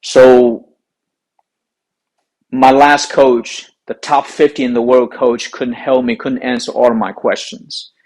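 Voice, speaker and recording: A man's voice: medium pitch, flat delivery, slow pace, darkish tone, poor audio. A man with a Chinese accent, whose first language is Chinese, giving an earnest, emphatic golf lesson to camera. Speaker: golf coach. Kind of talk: instructional talk to camera.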